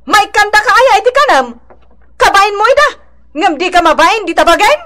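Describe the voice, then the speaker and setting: A woman's voice: very high-pitched, expressive, normal speed, pushed and bright, heavily acted. Piercing, fed-up wife and mother. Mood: fed up, reproachful. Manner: bitter, mocking, emphatic. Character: fed-up, sarcastic wife and mother of a poor family. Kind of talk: radio drama acting.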